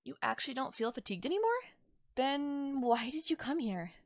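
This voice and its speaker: A woman plays a mysterious, teasing witch in an audio roleplay, speaking straight to the listener. Her voice is fairly high and very expressive, with coy, musing pauses. The sound is dry and intimate, without room echo. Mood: curious, coy.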